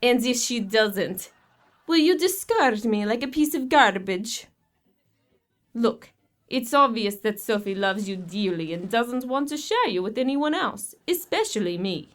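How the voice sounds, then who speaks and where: A woman playing a worried, bitter young girlfriend in an audio horror drama, speaking earnestly and with exasperation. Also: fairly high pitch.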